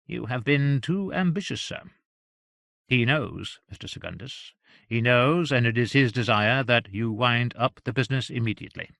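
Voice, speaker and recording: A man voicing a shabby, grave Yorkshire servant in an audiobook, with a gravelly, deliberate delivery and coolly amused menace. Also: medium pitch, expressive, a bit bright, dry recording, studio quality. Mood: stern, insistent.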